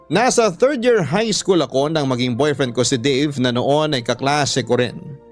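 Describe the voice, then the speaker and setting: A Filipino man reads a listener's letter as the host of a radio drama. His voice sits a little high, in scripted storytelling style, with background music underneath.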